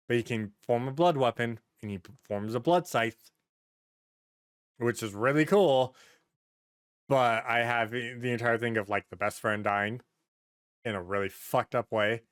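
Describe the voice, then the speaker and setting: A man's voice: mid-range voice, a bit bright, clean tone, no room sound. Casual man chatting on a podcast, dry sound. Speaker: podcast host.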